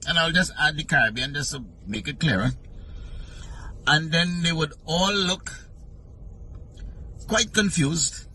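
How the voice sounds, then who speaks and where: A man with a Trinidadian accent telling a humorous travel story in an animated, playfully exaggerating way. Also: distant mic, slight background noise, low-quality recording. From Trinidad and Tobago. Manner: animated, playfully exaggerating, rising pitch for punchlines.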